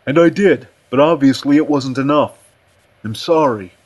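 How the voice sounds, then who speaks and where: A man's voice: mid-range voice, normal speed, darkish tone, voice pushed up, lightly acted. A man voicing a big, curt male department chairman in a story, formal and dismissive. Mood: defensive.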